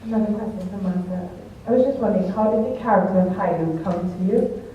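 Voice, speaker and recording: A woman's voice: mid-range voice, fast, slight background noise. A woman moderator reading out an audience question plainly. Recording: tinny, echoey, far from mic.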